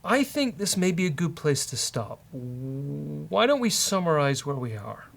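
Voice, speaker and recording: Creaky-voiced man thinking aloud while coding. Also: fairly high pitch, sing-song, measured pace, fairly bright tone. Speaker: host, software developer. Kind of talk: casual live-coding talk, thinking aloud. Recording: faint noise, keyboard typing.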